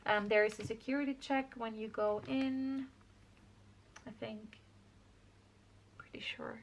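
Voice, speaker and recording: Chatty young woman with creaky voice. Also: on the high side, steady tone, unhurried, lo-fi sound, a little hiss or hum. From Austria. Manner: rambling, self-correcting, chatty. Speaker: craft vlogger. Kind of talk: casual scrapbook walkthrough.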